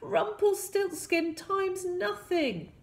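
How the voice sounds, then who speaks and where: A woman's voice: high-pitched, lively intonation, measured pace, warm tone, pitched above the performer's own voice. A woman voicing a brave, urgent young boy hero in a storybook, with emphatic commands. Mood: desperate, determined.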